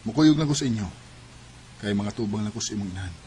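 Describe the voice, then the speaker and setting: An actor playing an earnest grown suitor in a radio drama, with a Cebuano Filipino accent. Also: male voice, on the low side, animated, fast talker, warm tone, breathy edge. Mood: determined. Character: earnest male suitor, older than his sweetheart.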